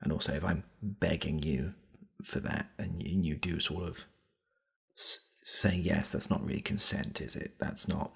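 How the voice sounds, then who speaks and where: A British man playing an awkward, needy shop worker in a comedy ASMR roleplay, speaking in a hesitant, stammering, repetitive way with a soft, husky, gravelly voice. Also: low-pitched, steady tone, moderate pace, heavily acted. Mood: flustered, pleading. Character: awkward, needy male shop worker clumsily propositioning a customer.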